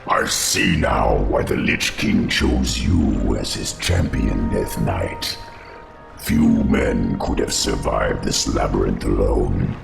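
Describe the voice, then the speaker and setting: Gravelly, very deep undead monster. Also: male voice, full character voice. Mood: grave, urgent. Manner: slow, solemn, formal. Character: ancient giant undead insect king, grave loyal guide. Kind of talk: fantasy video game acting. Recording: echoing voice under loud music.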